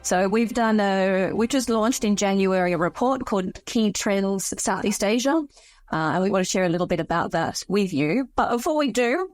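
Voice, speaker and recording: A middle-aged woman hosts a panel discussion, speaking in a measured, even way. Her voice sits at a middle pitch and sounds dry and close, with faint music underneath. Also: no echo. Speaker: host. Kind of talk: panel conversation.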